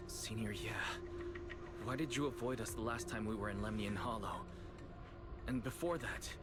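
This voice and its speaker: An actor playing an earnest young hero in a video game, hesitant and sincere. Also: male voice, lowish voice, normal speed, heavy background noise, close to an everyday voice.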